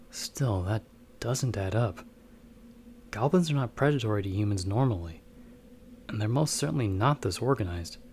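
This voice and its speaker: A man playing a watchful bounty hunter in an audio roleplay, explaining things to his companion in a measured, slightly formal way. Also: fairly low pitch, a little mellow, a little hiss or hum, natural voice. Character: calm, watchful male bounty hunter with magical wards. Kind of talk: ASMR audio roleplay acting, speaking to the listener as companion.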